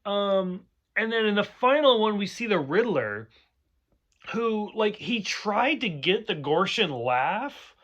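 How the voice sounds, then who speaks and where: A man's voice: high voice, big pitch swings, slow pace, fairly bright tone, highs cut off. A man hosting a casual review chat, rambling and chatty with lots of fillers, a bit tipsy. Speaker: show host.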